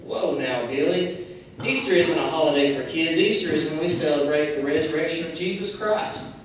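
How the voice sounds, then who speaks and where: A man voicing an earnest, patient fireman puppet who gently preaches and admonishes in a church puppet show. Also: a little high, normal speed, lightly acted. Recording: very echoey hall, distant mic, noisy.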